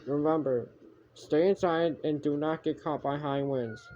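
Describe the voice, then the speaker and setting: Guy with a highish mellow voice doing a diy emergency alert, keeps stumbling n restarting, muffled mic w some noise. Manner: halting, repeats and corrects himself. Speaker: amateur narrator of a homemade emergency alert scenario. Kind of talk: improvised mock emergency broadcast announcements. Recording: muffled homemade recording with background noise.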